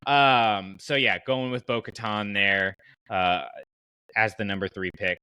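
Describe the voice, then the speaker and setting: A man with a fairly low but bright, forward voice, recorded close and dry. He hosts a casual fan podcast and explains his thinking conversationally, wandering into asides. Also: fairly even intonation, unhurried, smooth, no room sound, highs cut off. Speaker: podcast host.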